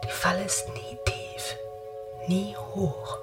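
An actress as an eerie woman's inner voice in a poetic radio play. She intones repetitive fragments extremely slowly at a medium pitch, with music clearly playing behind. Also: little pitch movement, lightly acted. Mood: hushed, hypnotic. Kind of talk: stylized poetic radio drama acting.

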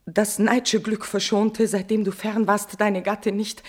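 An actress playing a guilt-ridden queen in a classical tragedy, declaiming verse with a husky voice, impassioned and swinging between hush and outcry. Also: medium pitch, flat delivery, a little slow, natural voice. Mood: ashamed anguish. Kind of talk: declamatory classical German stage verse acting.

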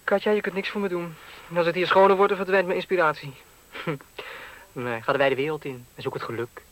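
An actor playing a dreamy, reluctant young fashion designer in a radio play, hesitant, soft-spoken and slightly affected, on a noisy old recording. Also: male voice, high voice, steady tone, brisk. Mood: reluctant, gloomy. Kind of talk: 1970s Dutch radio play acting.

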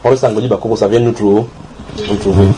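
A man's voice: fairly low pitch, steady tone, fast talker, heavy background noise. A man leading an informal Bible study at a house church, teasing and coaxing his listeners with questions. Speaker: Bible teacher. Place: house church room with people around.

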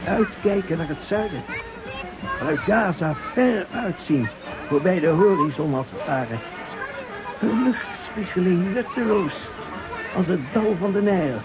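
An actor playing a weary war veteran in a poetic radio play, speaking in deliberate fragments. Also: male voice, sits high, full character voice. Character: weary male war veteran recalling desert, sea and flight. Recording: noisy, dull radio play recording.

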